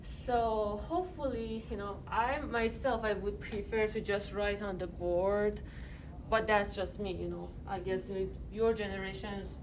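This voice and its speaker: An Iranian-accented woman teaches a chemistry class, talking in a loose, conversational way full of fillers. She is picked up by an iPad some distance away, so her voice sounds far off. Steady room noise runs underneath. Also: medium pitch, unhurried. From Iran. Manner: conversational, many fillers like "you know" and "like". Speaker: chemistry lecturer. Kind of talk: classroom lecture. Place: university classroom. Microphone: iPad recording from a distance. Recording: distant mic, noisy classroom.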